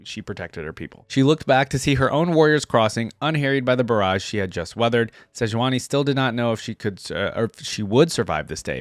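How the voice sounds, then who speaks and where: A man's voice: mid-range voice, no echo. Casual male reaction host, close dry sound. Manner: informal, thinking aloud with short reactions. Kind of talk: casual reaction commentary mixed with reading story text and game descriptions aloud.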